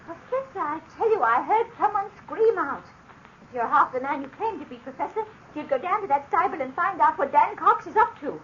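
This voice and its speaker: An actress playing an outspoken young chambermaid with blunt, working-class speech. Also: female voice, extremely high pitch, lively intonation, fairly quick, fairly bright tone. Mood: indignant. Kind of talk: 1940s radio acting. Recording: dull old radio recording with hiss.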